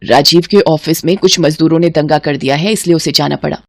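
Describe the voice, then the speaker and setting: A woman playing a gentle middle-aged mother in an audio family drama, caring, earnest and worried. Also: sits low, steady tone, brisk, no room sound, studio quality, voice pushed down. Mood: concerned.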